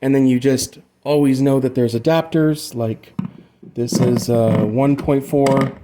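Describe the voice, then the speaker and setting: A man's voice: mid-range voice, fairly even intonation, measured pace, noisy background. A man giving a casual hands-on tutorial about music gear, rambling and loosely explaining as he goes, with some swearing. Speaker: amateur music gear demonstrator.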